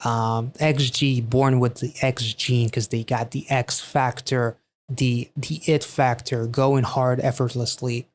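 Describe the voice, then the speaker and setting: A man's voice: mid-range voice, level tone, slow pace. A man hosting a music reaction, talking casually and enthusiastically about a song and its lyrics, with some offhand swearing.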